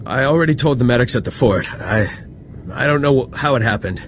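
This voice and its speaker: An actor playing a desperate, sick villager and devoted husband in a video game, emotional and faltering. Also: male voice, mid-range voice, lively intonation, brisk, a bit bright, slight background noise, lightly acted. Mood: desperate pleading. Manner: pleading, stammering, emotional. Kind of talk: video game dialogue acting.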